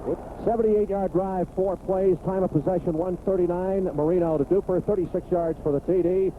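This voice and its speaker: High-pitched radio football announcer, tinny and noisy. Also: male voice, fairly quick. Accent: American. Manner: clipped phrases building to excited calls. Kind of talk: live American football play-by-play commentary.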